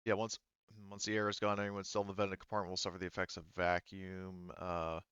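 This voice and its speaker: A man's voice: sits low, monotone, fairly quick, a bit bright, clean tone, no echo, lo-fi sound. A man talking casually as game master of a tabletop game, informal and swearing freely. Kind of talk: casual tabletop game narration and rules talk.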